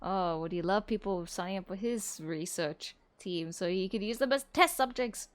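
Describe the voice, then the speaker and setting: A woman's voice: mid-range voice, moderate pace. A young woman streamer giving casual, chatty commentary on a game, giggling and filling her talk with "like". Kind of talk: casual let's-play commentary.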